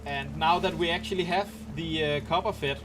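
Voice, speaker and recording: A man's voice: fairly high pitch, fairly even intonation, normal speed, background noise. A man with a Danish accent casually talking through a game on a live stream, rambling and explaining as he plays, with frequent ums and restarts. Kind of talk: casual live-stream commentary while playing.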